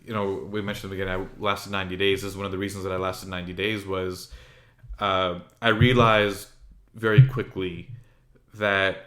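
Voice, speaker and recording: A man with a low, bright voice talks through his experiences in a casual podcast chat. He is candid and reflective, and he quotes what others told him as he goes. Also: flat delivery, moderate pace, echoey room. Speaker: podcast host.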